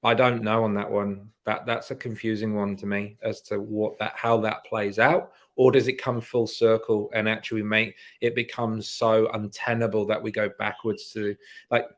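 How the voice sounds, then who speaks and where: A man's voice: fairly low pitch, level tone, moderate pace. A man speaking as a podcast guest and leadership coach in a casual conversation, earnest and emphatic, often restarting his sentences.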